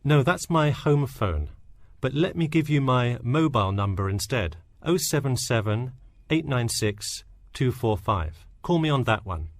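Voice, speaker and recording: A man acts as an eager, easygoing job applicant with a medium-pitched voice. He answers cooperatively and casually, as in a scripted interview, in a very dry, close recording. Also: expressive, measured pace, no room sound. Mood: agreeable. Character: eager, agreeable male lifeguard job applicant. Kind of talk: scripted listening-test dialogue.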